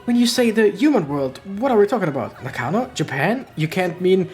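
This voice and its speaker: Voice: medium pitch, big pitch swings, brisk, darkish tone, soft background music, pitched above the performer's own voice, natural voice. A streamer voicing a young human hero in a game, asking alarmed, urgent questions in a raised voice. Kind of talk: game dialogue read aloud.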